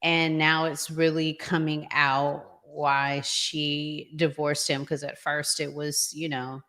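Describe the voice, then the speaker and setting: A woman with a slightly low voice hosts a casual discussion podcast. She speaks very slowly and deliberately, in colloquial language. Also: level tone, fairly bright tone. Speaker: podcast host. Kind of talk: casual relationship talk show hosting.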